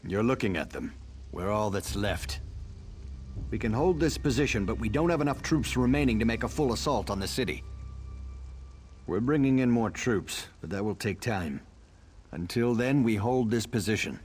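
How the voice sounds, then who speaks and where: Fantasy game military commander, man acting earnest and firm, low voice, deliberate briefing, faint background noise. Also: animated, lightly acted. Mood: resolute. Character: earnest male army commander, a worried father.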